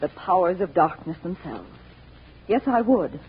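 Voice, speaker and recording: A woman's voice: lowish voice, very animated, measured pace, breathy edge, full character voice. An actress playing an anxious, insistent wife and mother, pleading in agitated 1940s radio style. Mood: troubled. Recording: thin, phone-like 1940s radio recording.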